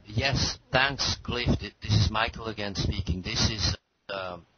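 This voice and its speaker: A man's voice: on the low side, measured pace. A man speaking conversationally as a participant in a conference call. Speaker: participant responding on a group call. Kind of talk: conversational commentary. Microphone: conference call line. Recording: muffled noisy conference call, clicks.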